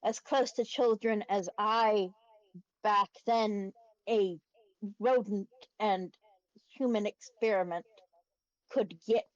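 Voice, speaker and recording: A woman voicing a small, solemn rodent teacher who speaks in measured, formal, fatherly phrases in a tabletop game. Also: mid-range voice, fairly even intonation, no room sound, lo-fi sound, lightly acted. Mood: solemn, regretful.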